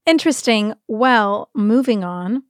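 A woman co-hosts a casual chat in a fairly high voice. She is playful and teasing, quick to react and prompt. The recording is close and dry, without room sound. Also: no room sound. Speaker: podcast co-host.

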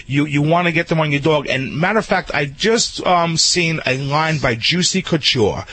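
A man with a New Jersey accent chatting on radio as a pet grooming expert, rambling and excited with many restarts. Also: a little high, normal speed. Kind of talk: casual radio chat.

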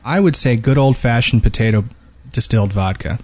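A man's voice: medium pitch, fairly quick, darkish tone, no room sound. A man co-hosting a gaming podcast, talking in a casual, conversational way. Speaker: podcast co-host.

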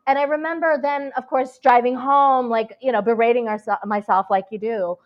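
Chatty actress on a dull lo-fi line. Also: female voice, a little high, fairly even intonation, moderate pace. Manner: chatty, many fillers and restarts. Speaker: actress, guest. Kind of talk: casual interview chat.

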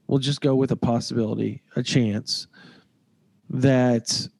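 A man with a cold gives his voice a stuffy, nasal edge as he rambles through sports talk, hedging as he goes. His tone is mellow and dark, and the recording is dry and close. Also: mid-range voice, fairly even intonation, measured pace, creaky, no room sound. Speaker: podcast host. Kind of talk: casual sports chat.

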